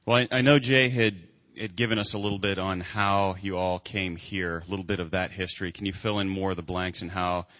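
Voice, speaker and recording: A man's voice: low-pitched, steady tone, brisk, hardly any pauses, fairly bright tone. A man interviewing a couple at church, hesitant and repeating words as he frames his questions. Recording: dull sound with long hall reverb.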